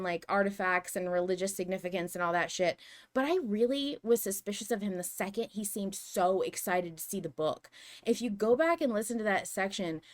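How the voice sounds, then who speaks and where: A woman hosting a fan podcast, chatting casually in an animated, opinionated way with some swearing. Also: mid-range voice. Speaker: podcast host.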